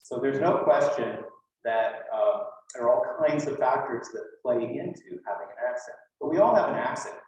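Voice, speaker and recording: A man's voice: mid-range voice, normal speed, a little mellow, highs cut off. A man with a Western Canadian accent giving an informal academic talk, conversational, with rhetorical questions and dry asides. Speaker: conference speaker, linguist. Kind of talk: informal academic lecture to an audience. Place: lecture room at a conference. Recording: very echoey room, distant mic.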